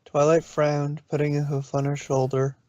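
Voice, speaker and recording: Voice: mid-range voice, level tone, normal speed, a little mellow, muffled recording. A narrator reading a story aloud in a storybook style, sometimes stumbling over a word and correcting it. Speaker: narrator, fan fiction reader.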